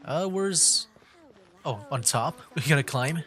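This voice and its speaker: A man's voice: on the high side, expressive, slow. A man livestreaming a video game, rambling casually and thinking aloud as he plays. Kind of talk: casual gameplay commentary. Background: game music.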